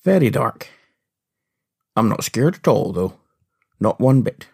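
A man telling an adventure story to children in a playful, animated style with comic understatement. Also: mid-range voice, takes it slowly, gravelly, slightly rough. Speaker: podcast host and storyteller.

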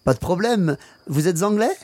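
A man's voice: sits high, lively intonation, brisk, darkish tone. A man playing a friendly, obliging Frenchman in a radio play for learners. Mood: friendly, helpful. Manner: chatty, obliging. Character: helpful, friendly Frenchman giving directions to tourists.

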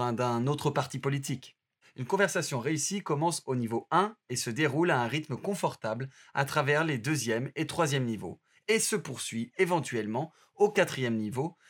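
A man reading an audio course aloud in a steady, instructive way. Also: medium pitch. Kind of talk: scripted narration.

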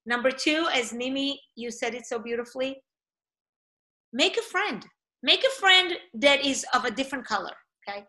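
Bright, high-voiced Israeli woman hosting. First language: not English. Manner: enthusiastic, encouraging, emphatic with repetition. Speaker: host of a live online talk session. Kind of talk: casual interview chat. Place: online video call.

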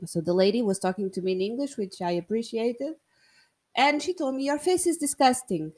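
A woman's voice: on the high side, lively intonation, fairly quick, a little mellow. A woman with a Portuguese accent, a native Portuguese speaker, telling a story casually in English with self-mocking humor and hesitant restarts. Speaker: vlogger.

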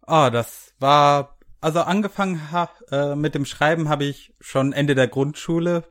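A man's voice: fairly high pitch, unhurried, a bit bright. A man co-hosting a podcast in casual conversation. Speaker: podcast co-host. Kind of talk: casual chat.

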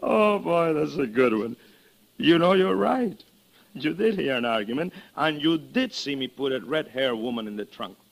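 Sly, excitable showman, higher-voiced. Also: moderate pace, creaky, poor audio. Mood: amused, boastful. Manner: teasing, mock-polite, excitable. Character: sly, theatrical Mexican ventriloquist, playful and boastful. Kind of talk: 1940s radio mystery acting. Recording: old radio recording, faint noise.

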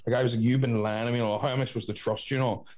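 A man with a Northern Irish accent in casual podcast chat, telling anecdotes in an animated, sweary way. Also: medium pitch, very fast. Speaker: podcast host.

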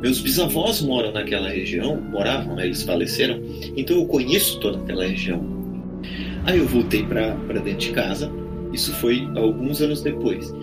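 A man telling a story casually in animated, slangy speech. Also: medium pitch, fairly quick. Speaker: podcast guest telling his own paranormal experiences. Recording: loud music bed behind the voice. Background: loud instrumental music.

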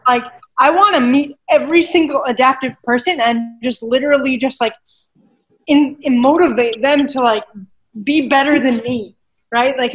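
Woman, high-pitched voice, fitness coach, interview guest, animated, emphatic. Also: poor audio. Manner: animated, emphatic, keeps asking "right?". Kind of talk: casual interview conversation.

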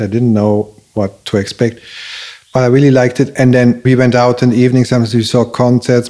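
A man who grew up in Belgium, a native German speaker, talking casually and telling stories in a halting way, with many restarts. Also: on the low side. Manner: halting, restarts often, animated storytelling. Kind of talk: casual conversation.

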